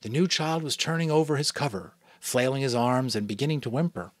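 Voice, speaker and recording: A man from New England reading a novel aloud as a father, in a dramatic, expressive narration style. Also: mid-range voice, fairly quick, dry recording. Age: adult, a father. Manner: expressive, varied delivery. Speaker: audiobook reader.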